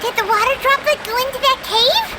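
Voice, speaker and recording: A woman voicing a tiny floating female companion in a game dub, talking in high, squeaky, excitable chatter. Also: a little fast. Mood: chirpy. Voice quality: high squeaky chatter, calls herself by name. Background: game music.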